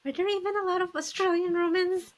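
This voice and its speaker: A woman's voice: very high voice, fairly even intonation, quick pace. A woman hosting a casual podcast interview, putting her questions tentatively and piece by piece.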